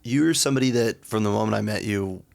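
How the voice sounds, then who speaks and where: A man hosting a podcast, chatty and joking, often pausing with fillers. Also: fairly low pitch, creaky, darkish tone. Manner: joking, upbeat, hesitant with fillers. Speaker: podcast host. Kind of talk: casual interview chat.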